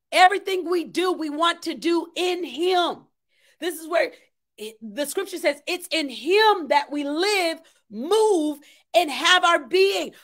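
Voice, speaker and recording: A woman with a high-pitched voice preaches an impassioned sermon from a small room at home. She exhorts her listeners emphatically, repeating phrases to drive each point in. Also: fairly bright tone.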